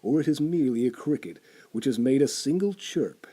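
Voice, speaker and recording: A man's voice: lively intonation, dark tone, slightly rough. A man voicing a frightened old man who nervously soothes himself. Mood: anxious. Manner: quick, nervous, higher-pitched.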